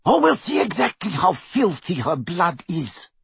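A man performs a cruel witch from a storybook, her voice pitched high and very husky. She is imperious and taunting, swooping theatrically from gloating menace toward a shriek. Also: big pitch swings, measured pace, no echo, full character voice. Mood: menacing.

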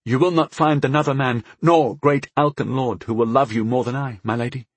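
A man voicing an earnest, courteous country knight with rough edges in a fantasy audiobook, dignified and formal. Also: mid-range voice, expressive, moderate pace, dry recording. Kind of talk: audiobook character voice.

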